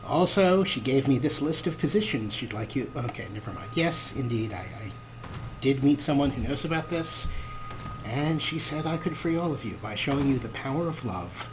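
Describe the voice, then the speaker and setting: Wry, animated man doing let's-play commentary. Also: medium pitch, normal speed, background noise. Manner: wry, rambling, giggly. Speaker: gaming show host.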